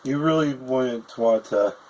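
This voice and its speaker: A man with a mellow, warm-toned voice chats casually beside a friend. He meanders through his memories, pausing on fillers, with a faint hiss in the background. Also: mid-range voice, rough recording. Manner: chatty, recalling episodes with fillers. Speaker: guest, friend of the host. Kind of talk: casual chat.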